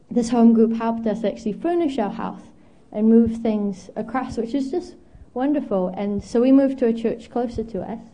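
Young woman preacher from Zimbabwe, warm mellow tone, slightly high, candid storyteller with lots of ums. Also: poor audio. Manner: candid, self-deprecating, frequent ums. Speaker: guest preacher. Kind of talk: storytelling sermon.

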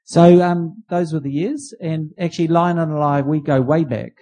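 A man leading a church service, welcoming a guest and praying in an informal, halting way. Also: on the high side, mellow. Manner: informal and halting, many restarts. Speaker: church leader.

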